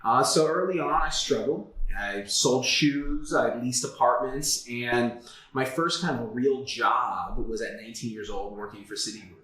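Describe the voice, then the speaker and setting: A man's voice: mid-range voice, measured pace, sharp tone. A man in his thirties from South Florida, a guest telling his career story in a casual interview, candid and full of "like" and "uh". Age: 30s. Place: office. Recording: echoey room.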